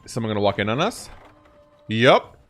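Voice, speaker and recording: A man's voice: fairly low pitch, sing-song, a little fast, a bit bright, soft background music. A young man giving casual reaction commentary as host of a video game channel.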